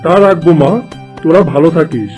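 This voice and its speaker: A man playing a kindly, gentle older father in a Bengali fairy-tale cartoon. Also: on the high side, lively intonation, a little fast, close to an everyday voice. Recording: faint music behind the voice.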